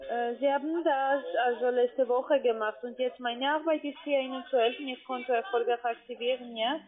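A woman telemarketer giving a sales pitch by phone with non-native word order. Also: steady tone, brisk. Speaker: cold-call telemarketer. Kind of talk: scripted sales phone call. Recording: tinny narrowband phone line.

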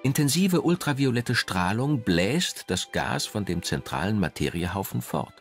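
A man's voice: lowish voice, very animated, moderate pace, faint music behind. A man reading scripted documentary narration in German as the narrator.

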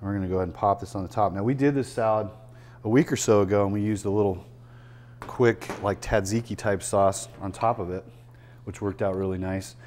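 A man's voice: fairly low pitch, fairly quick, a little hiss or hum. Older man chatting through a cooking demo. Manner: relaxed, chatty, explains as he works. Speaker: chef and cooking show host. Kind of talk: casual cooking demonstration. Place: restaurant dining room, indoors.

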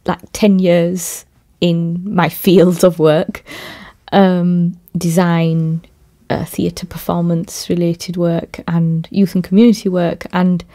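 A woman who works in theatre and youth work, speaking in a measured, thoughtful way in a podcast conversation. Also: fairly low pitch, warm tone. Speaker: theatre maker and youth worker.

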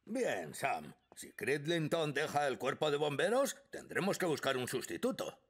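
A man's voice: on the high side, sing-song, normal speed. A man voicing a bossy, pompous older fire chief in a children's cartoon dub. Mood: worried. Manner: bossy, emphatic. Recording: music behind the voice.

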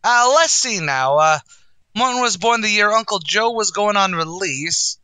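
A brash, boastful New tavern manager in a 1940s radio comedy. His voice sits high and swings up and down constantly, bright and sharp-edged. He rattles off streetwise wisecracks in a dry, close sound. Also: animated, a little slow, smooth, no room sound, full character voice. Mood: excited.

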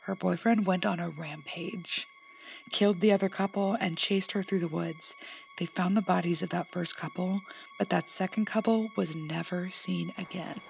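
A woman's voice: on the low side, fairly even intonation, moderate pace, vocal fry, faint music behind, low-quality recording. A woman playing a pushy, superstitious, teasing cousin in a horror audio drama. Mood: ominous, gossipy.

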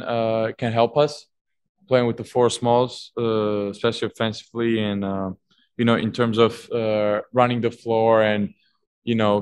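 A young man, a basketball player, answering postgame press questions haltingly, full of fillers and restarts, at a table mic in a team media room. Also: lowish voice, clear, resonant tone, no room sound. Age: young adult. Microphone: table mic on stand.

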